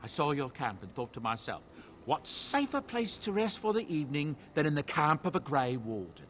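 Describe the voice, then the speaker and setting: A man's voice: a little high, big pitch swings, normal speed, a bit bright, slight background noise. An actor playing a courteous, chatty middle-aged dwarf merchant with a salesman's patter in a fantasy video game. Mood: eager, ingratiating.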